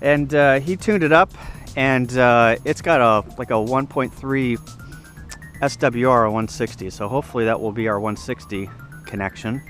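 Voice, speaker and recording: A man with a mid-pitched voice talks through his outdoor setup in a casual, unscripted way. He explains as he goes, thinking aloud, picked up on a handheld camera mic with music behind him. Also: moderate pace, a bit bright. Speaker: ham radio hobbyist giving a video tour. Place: outdoor campsite.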